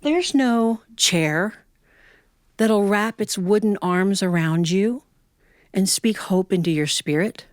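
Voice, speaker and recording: A woman's voice: medium pitch, dry recording. American woman storyteller, close dry recording. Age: middle-aged or older. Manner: expressive, with unhurried dramatic phrasing and conversational asides. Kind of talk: live personal storytelling, reflective and folksy.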